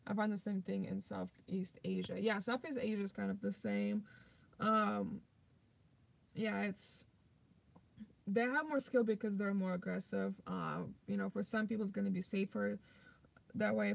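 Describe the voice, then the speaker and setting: A young woman with a Russian accent, her voice heavy with vocal fry, chats loosely at a middling pitch. She rambles through her answers with lots of "like" and "um" while replying to viewers on a live stream. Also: very animated, measured pace, no echo. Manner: loose and rambling, many "like" and "um" fillers. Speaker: vlogger hosting a live stream. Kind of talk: casual live-stream chat, reading viewer comments.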